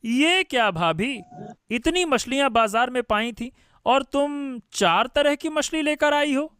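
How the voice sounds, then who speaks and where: A man's voice: extremely high pitch, expressive, measured pace, fairly bright tone, clear, resonant tone, no echo, studio quality, heavily acted. A man playing an arrogant, sneering grown son who plots in a cartoon family drama. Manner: sneering, contemptuous. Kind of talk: Hindi cartoon moral-story dubbing.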